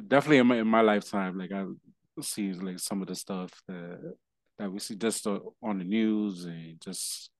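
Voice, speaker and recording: Halting low-voiced male host. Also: no echo. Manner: halting, many restarts and repeated words. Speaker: interview host. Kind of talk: casual interview chat.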